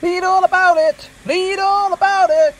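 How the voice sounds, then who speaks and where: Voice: very high voice, fairly even intonation, a little slow, a bit bright, slight background noise. An actor playing an insistent newspaper seller at a railway station in a homemade radio play. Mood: urgent calling. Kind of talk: street-cry radio play acting.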